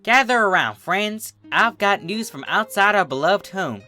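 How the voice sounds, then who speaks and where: A man's voice: high-pitched, expressive, measured pace, pushed and bright, faint music behind, pitched above the performer's own voice, heavily acted. A man voicing a strong female cow in a storybook reading, calling out declaratively to her herd. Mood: rallying.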